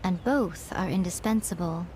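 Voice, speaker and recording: A woman's voice: lowish voice, moderate pace, a little mellow, slight background noise, lightly acted, rough recording. A woman voicing a playful, confident shrine priestess in a video game, with a wry, teasing manner. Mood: solemn, warm.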